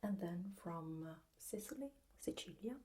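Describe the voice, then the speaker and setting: A woman with an Italian accent giving a gentle whispered ASMR lesson, soft and hesitant with many pauses. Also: fairly low pitch, expressive. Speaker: ASMR teacher.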